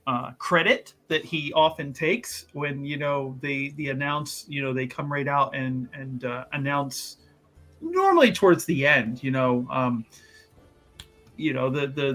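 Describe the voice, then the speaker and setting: A man's voice: mid-range voice, pushed and bright. A man narrating a tabletop game as game master, rambling and joking with many fillers.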